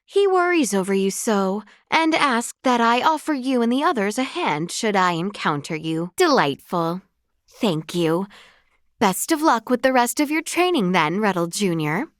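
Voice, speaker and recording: Young fantasy game princess, very animated intonation, courtly and polite, earnest, dry close studio sound. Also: female voice, a little high, no echo. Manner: formal, courtly, politely archaic phrasing. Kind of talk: scripted video game voice acting.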